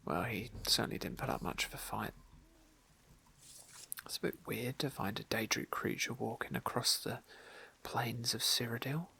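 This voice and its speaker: A man narrating a game playthrough as ASMR roleplay, soft-spoken and politely wordy, with a breathy, often whispery voice close to the microphone. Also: mid-range voice, normal speed, pauses often.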